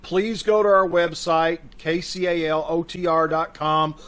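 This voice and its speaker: A man's voice: fairly high pitch, fairly even intonation, measured pace, a bit bright. A man giving a casual spoken introduction and announcements as host of a community radio theater show, speaking over a video call.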